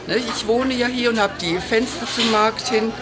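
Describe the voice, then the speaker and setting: Complaining woman over loud music. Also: fairly high pitch, normal speed, poor audio. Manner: complaining, stumbling over words. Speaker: local resident. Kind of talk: casual street interview. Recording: loud music and traffic behind.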